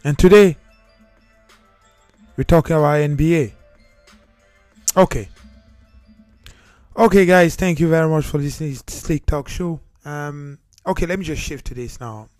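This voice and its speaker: A man's voice: fairly high pitch, a little slow, long pauses, darkish tone, no echo, faint music behind. A man from Cameroon hosting his own talk show, speaking earnestly with many fillers and restarts. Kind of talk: solo podcast monologue. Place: United Kingdom.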